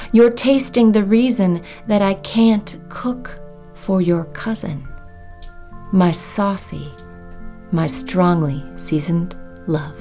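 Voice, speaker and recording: Female poet, expressive verse reading, very slow and deliberate, savored phrasing, music underneath. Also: medium pitch. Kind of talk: expressive poetry reading of own work.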